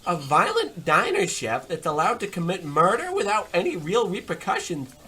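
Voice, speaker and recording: A man playing a cocky, boastful diner chef and war veteran in a comedic radio play, brash and quick to butt in. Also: high voice, huge pitch swings, sharp tone, full character voice. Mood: scornful, bragging. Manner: brash, bragging, interrupting, calls people pal. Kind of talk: comedic old-time radio play acting.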